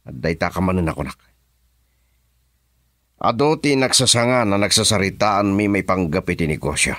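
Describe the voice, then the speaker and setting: A man's voice: low-pitched, lively intonation, normal speed, fairly bright tone, dry recording, clean studio sound. A man with a Filipino accent acting a scheming, commanding male boss in a radio drama. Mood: defensive, curt.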